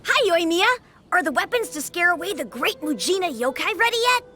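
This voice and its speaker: An actress playing an excitable, playful little child in a video game. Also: female voice, very high-pitched, fairly quick, full character voice. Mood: excited. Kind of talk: English video game voice acting. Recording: music under the voice.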